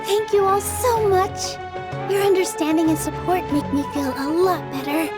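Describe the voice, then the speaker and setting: Very high-pitched young female dancer, soft, sincere and earnest, anime game style, music behind. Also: fairly even intonation, moderate pace. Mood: earnest, reassuring. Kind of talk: English anime game dub acting.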